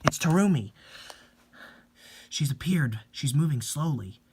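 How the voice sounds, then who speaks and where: A man voicing a haunted, intense teenager who speaks gravely and insistently in a horror story. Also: a little high, lively intonation, measured pace, darkish tone, a little hiss or hum. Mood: urgent, hushed.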